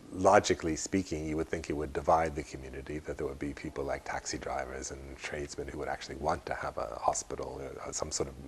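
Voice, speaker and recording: A man giving unscripted interview commentary in a deliberate, wry way, often halting and repeating words. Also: extremely low pitch, slight background noise.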